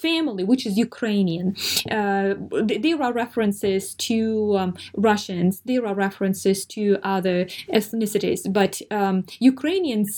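A woman's voice: medium pitch, animated, normal speed, darkish tone. A woman interviewing an author, asking long careful questions with many fillers.

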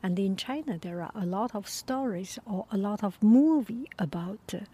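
A woman softly whispering a personal story, halting often with pauses and fillers. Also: mid-range voice, animated, slightly rough.

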